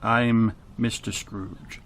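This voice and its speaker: An actor playing a grumpy, miserly old Victorian business owner in a radio drama, curt, scolding and scornful. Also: male voice, fairly low pitch, flat delivery, extremely slow, fairly bright tone, slight background noise, full character voice. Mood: cold, dismissive.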